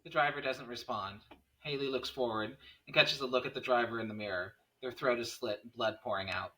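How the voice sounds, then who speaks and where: A man's voice: medium pitch, a little fast, pushed and bright. A man reading out screenplay stage directions at a table read, picked up by a distant microphone.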